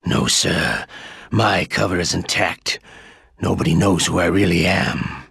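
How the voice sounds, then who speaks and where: Sly game villain: deep, very gravelly, slow-paced, obsequious toward his master. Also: fairly bright tone, no echo, studio quality, heavily acted. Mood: smug, deferential. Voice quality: gravelly, husky drawl. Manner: measured, obsequious, insinuating. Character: sly older male double agent, smugly deferential to his master. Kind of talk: video game voice acting, one side of a phone report.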